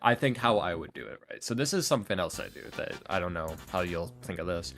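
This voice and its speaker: A man's voice: deep voice, animated, fast talker, fairly bright tone, faint music behind. A man talking casually and earnestly as a podcast guest, in an informal way. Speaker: podcast guest, ASMR roleplay creator. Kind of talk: casual interview chat.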